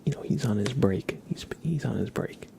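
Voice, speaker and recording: A man hosting a casual ASMR livestream, chatting in a breathy, gentle, unhurried whisper and softly repeating phrases. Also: lowish voice, lively intonation. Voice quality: breathy whisper with creaky edges. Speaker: live streamer, ASMR host.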